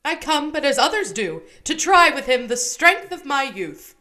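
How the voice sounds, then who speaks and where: A woman's voice: high-pitched, very animated, normal speed, bright, forceful tone, exaggerated voice. A woman playing a bold, respectful young man in a Shakespearean radio play. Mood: earnest, bold. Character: bold young male wrestling challenger, respectful.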